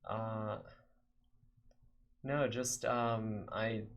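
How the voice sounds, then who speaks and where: Chatty American man, lowish voice. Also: little pitch movement, very slow. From Texas, USA. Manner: rambling, chatty, lots of fillers. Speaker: game developer streamer. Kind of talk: casual live-coding stream chat.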